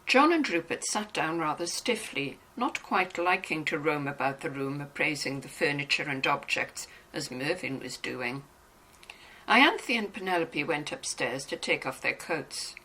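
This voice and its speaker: A woman's voice: deep voice, very animated, a little slow, bright, forceful tone, low-quality recording. A woman narrating a novel as a scripted, dramatized reading.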